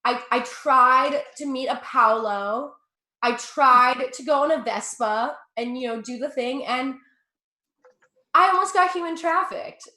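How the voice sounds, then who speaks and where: A woman's voice: sits high, unhurried, sharp tone, reverberant. A young woman from Russia with an American accent doing a chatty, profane stand-up set, laughing at her own jokes. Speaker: stand-up comedian.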